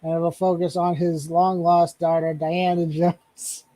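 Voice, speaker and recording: High-voiced joking male streamer. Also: little pitch movement, a little slow, mellow, dry recording, rough recording. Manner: joking, laughs often, stumbles and restarts. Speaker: streamer host. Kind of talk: casual livestream chat.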